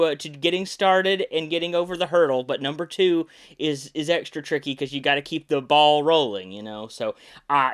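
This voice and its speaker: Male podcast host, relaxed and rambling, lots of fillers, smooth clear tone, a bit stuffy. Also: on the high side. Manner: easygoing, rambling, lots of filler words and restarts. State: slightly congested from sinus trouble. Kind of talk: casual podcast chat.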